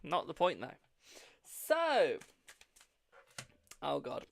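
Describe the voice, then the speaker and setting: Chatty English tarot host, gravelly voice. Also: mid-range voice, wildly swooping pitch, extremely slow. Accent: English, posh-sounding. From England. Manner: chatty, swears freely, calls viewers "my darling". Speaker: tarot reader hosting a live stream. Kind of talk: live-stream card reading and casual chat.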